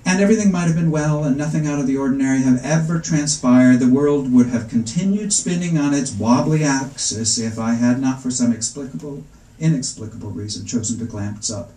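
A middle-aged man reads comic prose aloud at a public event. His voice is mellow and of medium pitch, and his delivery is wry and measured, with an arch turn on ornate phrases. The room around him is noticeably echoey. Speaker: author reading at a book event. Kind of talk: live literary reading of first-person comic prose. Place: indoor bookstore reading before a seated audience. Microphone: stand microphone, recorded from the audience seats.

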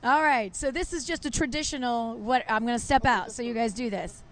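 Female TV field reporter, middle-aged, high voice, effusive and chatty, live remote on a handheld mic. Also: fairly quick. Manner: gushing, effusive. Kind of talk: live on-location interviewing, chatty. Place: outdoors at a fire station. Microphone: handheld reporter microphone.

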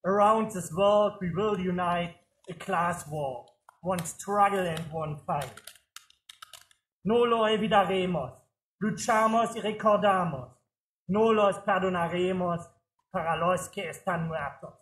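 Male poetry reciter, high-pitched voice, solemn and declamatory, measured rhythm, echoing roomy sound. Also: a bit bright. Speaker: poet reciting at a political memorial.

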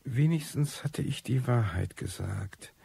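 An actor playing a disillusioned, cynical recluse who tells his life story in a radio drama, wry and bitter, with a husky, gravelly voice. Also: medium pitch, lively intonation, a little slow, warm tone, dry recording, heavily acted. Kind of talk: radio drama first-person monologue.

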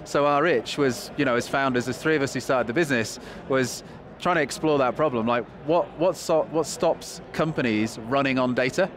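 A man in his thirties with a Northern English accent, answering interview questions quickly and keenly with some fillers, at a convention hall. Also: mid-range voice. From England. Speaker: guest, company chief executive. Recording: busy trade show noise behind.

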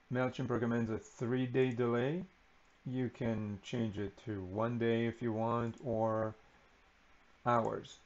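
A man giving an unscripted screen-recording walkthrough of software, relaxed and unhurried with frequent ums and uhs. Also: medium pitch, lo-fi sound. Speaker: software tutorial presenter.

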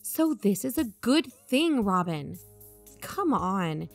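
Woman acting as assured lady podcast investigator, persuasive n probing, audio drama, medium pitch, faint music under. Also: sing-song, slow pace, gravelly, no room sound, full character voice. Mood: coaxing. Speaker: fictional podcast co-host. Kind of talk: conversational audio drama dialogue.

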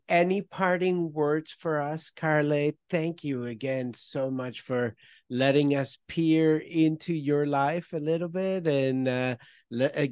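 A middle-aged man hosting an interview, upbeat and grateful, pausing on fillers as he speaks into a studio microphone. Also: a little high, darkish tone, no room sound. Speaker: host. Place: studio with branded backdrop. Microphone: headset with desk mic.

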